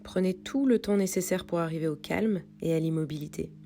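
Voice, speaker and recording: A woman reading a guided yoga nidra meditation in a calm, soothing, unhurried way with pauses. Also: on the low side, creaky, a little hiss or hum. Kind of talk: guided meditation read from a script.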